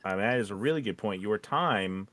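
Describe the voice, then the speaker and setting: A man's voice: fairly low pitch, lively intonation, fairly quick, fairly bright tone. A man hosting a casual discussion, giving informal advice and often rephrasing as he goes. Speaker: podcast host.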